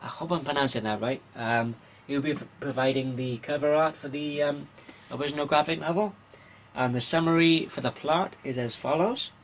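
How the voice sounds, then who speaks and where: A man from Wales gives an informal vlog-style news rundown in a halting, hesitant way, pausing often with ums. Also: on the high side, slight background noise. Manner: halting, hesitant delivery with frequent pauses and ums. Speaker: comics vlogger.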